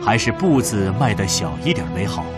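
A man's voice: fairly low pitch, sing-song, normal speed. A man reading scripted dramatic narration for a historical radio drama. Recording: music behind the narration. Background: background music.

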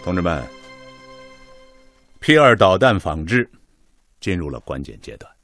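An actor playing a genial senior chief engineer in a Chinese radio drama, avuncular and encouraging with exclamatory turns. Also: male voice, deep voice, big pitch swings, unhurried, fairly bright tone, no echo, soft background music, heavily acted. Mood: earnest. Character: senior male chief engineer, genial, leading young staff.

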